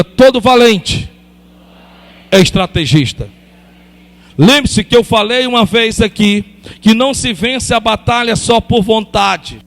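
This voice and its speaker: A high-pitched, gravelly male preacher, driving his points home with insistent repetition. His voice rings out in a church hall with a long reverb tail. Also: fairly bright tone. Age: 50s. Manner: repetitive, emphatic, calls on the congregation. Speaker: pastor. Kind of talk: sermon.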